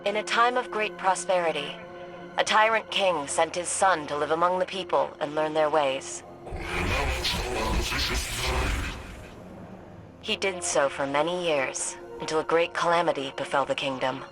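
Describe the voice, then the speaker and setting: Actress as thoughtful female investigator in a video game, measured n pondering, music clearly behind her. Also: low voice, lightly acted. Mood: solemn storytelling. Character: thoughtful female investigator piecing together old war records. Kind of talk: video game voice acting, dramatic dialogue.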